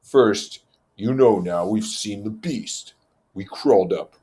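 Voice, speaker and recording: A man's voice: lowish voice, takes it slowly, mellow. A man voicing an aggressive, contemptuous schoolboy hunt leader in a storybook reading, commanding and accusing. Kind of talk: storybook character voice.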